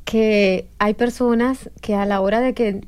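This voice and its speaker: A woman from Venezuela on a discussion panel, talking in an emphatic, lively way with many fillers. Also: mid-range voice, unhurried, smooth.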